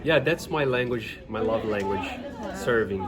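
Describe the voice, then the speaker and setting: Man, earnest, searching for words, loud crowd around. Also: lowish voice, normal speed. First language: Portuguese. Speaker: interviewee. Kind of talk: casual street interview.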